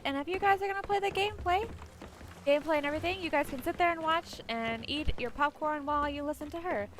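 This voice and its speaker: A woman's voice: sits high, fairly bright tone. A woman hosting a casual game walkthrough, chatting informally and addressing viewers directly. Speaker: gameplay video host. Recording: poor home mic, faint noise.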